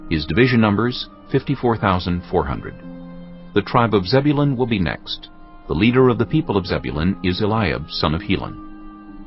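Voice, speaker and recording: A man narrating dramatised scripture from a script in a solemn, measured manner. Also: low voice. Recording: slightly dull audio under clear music. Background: music.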